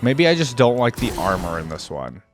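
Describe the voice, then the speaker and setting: A man's voice: mid-range voice, big pitch swings, moderate pace, music in the background. A man giving casual, off-the-cuff reaction commentary as a video host, thinking aloud in an informal way.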